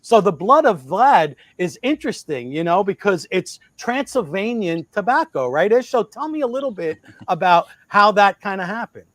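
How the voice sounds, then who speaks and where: A man co-hosting a casual interview show, enthusiastic and halting as he repeats words while building up to a question. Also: sits high, big pitch swings, fairly bright tone, dry recording. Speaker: show co-host.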